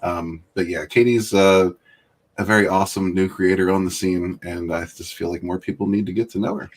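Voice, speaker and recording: A man's voice: sits low, steady tone, a little fast, slight background noise. A man hosting a casual livestream chat, greeting viewers by name and laughing often. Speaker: livestream host.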